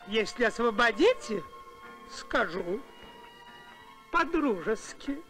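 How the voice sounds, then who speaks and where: Squeaky, high fat cartoon pirate. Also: male voice, sing-song, slow, sharp tone, soft background music, full character voice. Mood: wheedling. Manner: whiny, theatrical. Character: fat male space pirate, cowardly, wheedling, sly.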